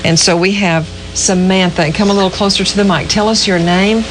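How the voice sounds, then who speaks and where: A woman's voice: medium pitch, fairly even intonation, brisk, noisy background. A woman hosts a casual live interview on local radio. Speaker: radio host interviewing contestants. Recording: local radio broadcast with loud music. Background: loud music.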